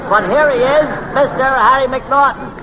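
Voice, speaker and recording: A man's voice: very high voice, normal speed, crowd noise. An actor playing a wisecracking male quizmaster, announcing in a 1940s radio comedy style. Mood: jovial showmanship. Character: wisecracking male quizmaster presenting a panel of dunces. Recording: very noisy 1940s radio recording.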